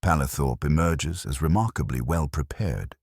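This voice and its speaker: A man voicing a shrewd, methodical police inspector in a mystery story, formal, deliberate and dryly observant. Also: rumbling low, a little mellow, husky, no room sound, clean studio sound, heavily acted.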